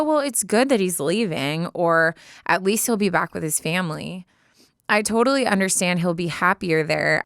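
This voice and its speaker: Casting: American female podcast co-host, crisp tone, dry close studio sound, casual and slangy delivery. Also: lowish voice, fairly bright tone, no room sound. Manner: informal, slangy. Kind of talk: casual banter and reading a listener letter aloud.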